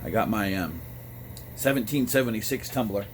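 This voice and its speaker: An American man talking in a casual, rambling monologue, with a halting delivery that often pauses mid-thought. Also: lowish voice, lively intonation. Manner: halting, frequent throat clearing between phrases. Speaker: amateur radio vlogger. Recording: noticeable background noise.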